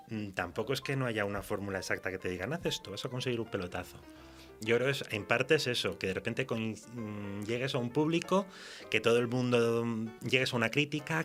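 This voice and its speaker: A man's voice: medium pitch, quick pace, fairly bright tone, faint music behind. A man with a Spanish accent from Spain, answering informally as a writer in a radio interview, telling anecdotes in a chatty, colloquial way. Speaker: interview guest, fiction writer.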